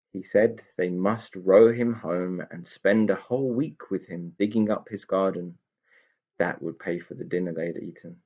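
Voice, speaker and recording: A man's voice: on the low side, a little mellow, highs cut off. A man narrating a children's story as a storyteller reading aloud. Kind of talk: expressive scripted story narration.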